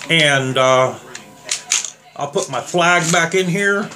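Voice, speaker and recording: A slightly hoarse man talking casually and rambling as he tests trigger locks on guns for his viewers. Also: on the high side, takes it slowly, noisy background. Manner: rambling, plain-spoken, addresses viewers as guys. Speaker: gun reviewer. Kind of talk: casual demo vlog.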